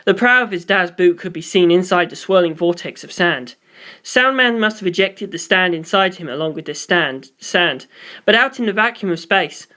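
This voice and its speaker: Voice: medium pitch, a bit bright. A reader delivering scripted first-person story narration, as for an audiobook.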